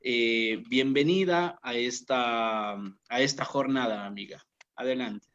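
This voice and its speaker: A man moderating a seminar, reading a speaker's introduction with many filler pauses, then welcoming her. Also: medium pitch, a bit bright. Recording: dull lo-fi audio.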